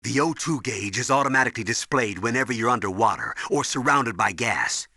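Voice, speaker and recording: An actor playing a stern older military commander, giving authoritative, urgent briefings in a video game with a husky voice. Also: male voice, medium pitch, fairly quick, bright, forceful tone, no room sound, studio quality. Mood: instructive. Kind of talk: video game voice acting, tutorial instructions.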